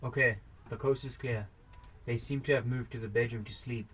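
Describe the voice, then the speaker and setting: A man's voice: medium pitch, fairly even intonation, normal speed, a bit bright, slightly rough. A man acting a bossy, scheming burglar in an amateur radio drama. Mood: urgent, bossy.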